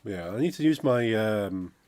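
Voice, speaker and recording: Croaky man streaming with music. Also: on the low side, expressive, normal speed, darkish tone, no room sound. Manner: rambling, chatty, reads out chat messages. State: croaky from a cold. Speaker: game dev streamer. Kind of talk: casual live-stream chat. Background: funky music playing.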